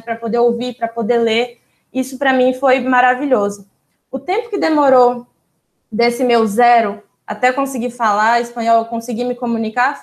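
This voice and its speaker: A young Brazilian woman, a doctoral student, answering interview questions in a relaxed, explanatory way, with frequent small fillers and restarts. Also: high voice. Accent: Brazilian Portuguese. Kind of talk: casual interview storytelling.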